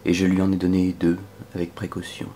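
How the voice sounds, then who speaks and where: A man performing a dramatic spoken-word poetry reading in a solemn, measured manner that can sink toward a whisper. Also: sits low, monotone, mellow, a little hiss or hum. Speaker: poetry reader.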